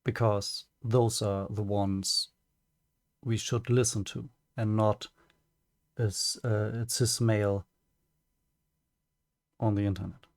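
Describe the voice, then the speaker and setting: A man with a German accent and German as his first language, giving a prepared personal statement as a streamer, careful and hesitant with many pauses and restarts. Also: fairly low pitch, little pitch movement, dry recording, clean studio sound.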